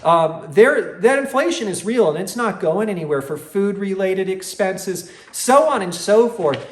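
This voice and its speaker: A man in his forties with a high-pitched voice talks straight to his viewers as a channel host. He explains things emphatically, repeating words to drive them in. The room adds a clear echo around his voice. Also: expressive, measured pace. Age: 40s. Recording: roomy, echoing room sound.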